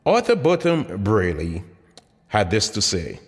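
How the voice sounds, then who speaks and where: A man's voice: fairly low pitch, wildly swooping pitch, a little slow. A man reading his own motivational book aloud as scripted narration, with dramatic, emphatic delivery. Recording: long hall-like reverb.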